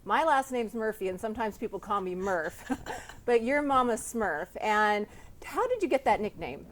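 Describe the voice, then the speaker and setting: A woman's voice: medium pitch, big pitch swings, normal speed. Lady interviewer, gushing and empathetic, full of compliments for her guest. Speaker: interviewer and host. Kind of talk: sit-down interview.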